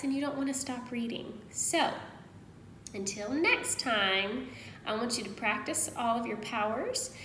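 A woman in her thirties teaching young children, expressive and instructive, in the manner of a picture-book read-aloud. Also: on the high side. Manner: expressive, instructive, prompting listeners with questions. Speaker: primary school teacher. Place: indoors in a room at home. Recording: roomy echo, faint background noise.

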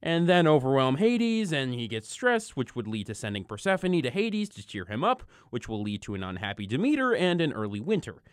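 A sarcastic storyteller, played by a woman, wisecracks through Greek myths. The delivery is casual and very animated, in a dry recording. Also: a bass voice, a little fast, hardly any pauses, no room sound. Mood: casual, wry. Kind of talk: comic narration.

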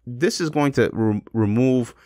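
A man's voice: mid-range voice, measured pace, warm tone, no echo, studio quality. A man hosting a podcast in casual, opinionated chat, emphatic and often repeating his words and phrases. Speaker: podcast host.

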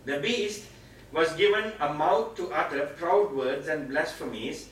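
A man's voice: on the high side, a little slow, a bit bright. A middle-aged man from Sri Lanka with a Sri Lankan accent, giving an explanatory Bible teaching lecture in an emphatic way, repeating key phrases. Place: meeting room with seated audience, indoors. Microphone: far camera, speaker at a mic stand. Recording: very echoey room, distant pickup, noisy.

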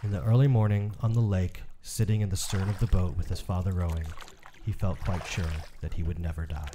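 A man's voice: sits low, steady tone, brisk, heavy background noise. A man reading a short story aloud as literary narration for a podcast. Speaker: podcast co-host and story reader.

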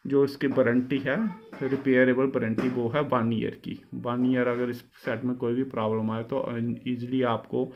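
A man's voice: medium pitch, flat delivery, moderate pace, hardly any pauses, dull audio, slight background noise. A man giving an informal product review, explaining by repeating and rephrasing.

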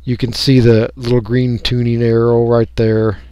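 An American man giving a casual, unscripted software walkthrough, folksy and rambling as he thinks aloud. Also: medium pitch, monotone, normal speed, smooth, no echo. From United States. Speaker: radio hobbyist giving a software tutorial.

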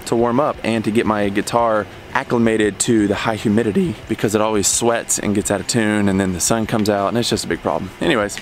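A man's voice: lowish voice, steady tone, brisk, hardly any pauses, slight background noise. A man in his thirties, a worship leader, chatting casually and joking while filming himself with a handheld camera. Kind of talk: casual vlog chat. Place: church hall and outdoor parking lot. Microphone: handheld camera at arm's length. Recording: music playing behind.

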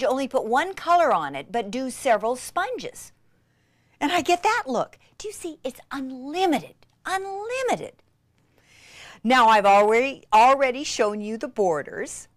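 A woman giving an excitable, gushing craft demonstration, with breathy exclamations and words repeated for emphasis. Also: fairly high pitch, no echo. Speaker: craft instructor demonstrating techniques. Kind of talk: enthusiastic hands-on craft demonstration.

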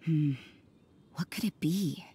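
A woman's voice: deep voice, slow pace, slightly rough, heavily acted. A woman voicing an aloof adult nun in a game cutscene, talking curtly in short clipped lines. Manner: curt, flat, clipped. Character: cool aloof adult nun, blunt and terse.

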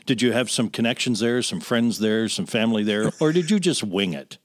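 A man's voice: on the low side, animated, a little fast, dry recording, clean studio sound. An older man hosting a podcast, asking questions in casual interview chat. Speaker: podcast host.

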